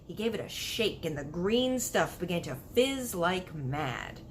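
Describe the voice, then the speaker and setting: A woman reading a children's book aloud in an expressive narrating style. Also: lowish voice, measured pace, slight background noise. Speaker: storybook reader.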